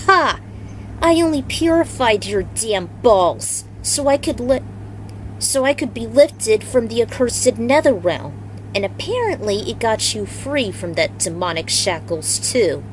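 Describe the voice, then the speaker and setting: Woman reader as female fantasy warrior, sarcastic, venomous, brash, raised pitch, noisy amateur recording. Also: animated, a little slow. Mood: taunting. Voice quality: alto. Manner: venomous, taunting.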